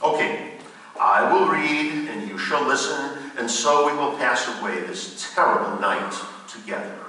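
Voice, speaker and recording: Man acting formal gothic gentleman, very echoey. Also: mid-range voice. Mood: forced calm. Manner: formal, measured, dramatic. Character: anxious, earnest gentleman friend narrating a gothic horror tale. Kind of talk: theatrical narration and radio drama acting. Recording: very echoey hall, distant mic, faint noise.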